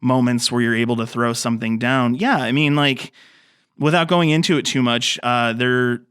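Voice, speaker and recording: A man's voice: mid-range voice, fairly even intonation, moderate pace, a bit bright, clear, resonant tone, no echo, studio quality. A man in his thirties, a filmmaker guest on a podcast, talking casually and rambling with many fillers and restarts. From grew up in the mountains. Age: 30s.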